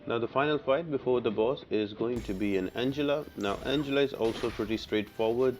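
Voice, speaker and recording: A man's voice: mid-range voice, fairly quick, darkish tone, slight background noise. A man giving a casual, instructional walkthrough commentary for a video game. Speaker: game guide creator.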